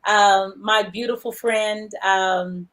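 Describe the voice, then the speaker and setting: A woman's voice: mid-range voice, fairly even intonation, slow, fairly bright tone. A woman hosting a casual livestream interview over a video call, chatty and rambling as she reads out viewer comments. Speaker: show host.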